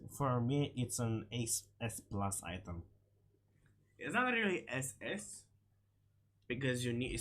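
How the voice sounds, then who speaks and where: A man giving casual, unscripted game commentary, halting and repeating short phrases, his voice slightly hoarse from a cold. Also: medium pitch. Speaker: gaming video creator.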